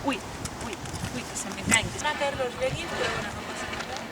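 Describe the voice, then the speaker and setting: A woman's voice: fairly high pitch, fast talker. A woman from the host's chair of an online special, chatting casually in a Spain accent, informal, bossy and teasing. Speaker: host of an online video special. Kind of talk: casual joking presenting to camera. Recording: very noisy outdoor recording with crowd.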